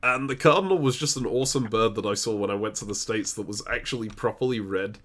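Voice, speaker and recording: A man from the UK with a hybrid British accent and a naturally deep voice, co-hosting a casual Q&A over a remote call, chatty and laughing between answers. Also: quick pace. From United Kingdom. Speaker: co-host. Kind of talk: casual chat. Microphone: remote call recording.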